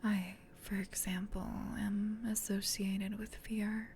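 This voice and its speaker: A gentle, caring female sleep paralysis demon, acted by a woman whispers softly in a comforting ASMR roleplay. Her delivery is slow and even, breathy and tender, close to the mic. A faint low rumble sits behind her. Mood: soft, musing. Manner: tender, reassuring, unhurried.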